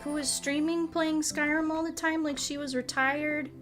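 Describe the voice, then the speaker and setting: A woman in her late twenties chatting informally as a livestream host, rambling and animated, with loose, sweary language. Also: high-pitched, normal speed, faint music behind. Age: late 20s. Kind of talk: casual chat while playing a game, reading and answering chat.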